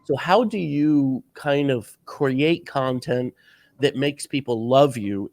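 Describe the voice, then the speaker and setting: Stuffed-up man with a sore throat. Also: medium pitch. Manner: rambling, repeats words while thinking. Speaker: podcast host interviewing a guest. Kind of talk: casual interview chat.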